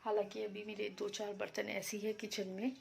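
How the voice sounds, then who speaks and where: A woman vlogging about her day in a casual, rambling way, a little hoarse from being unwell. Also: medium pitch, level tone, moderate pace, slight background noise. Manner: chatty, rambling, explains herself to viewers. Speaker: vlogger housewife. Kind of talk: casual daily vlog chat.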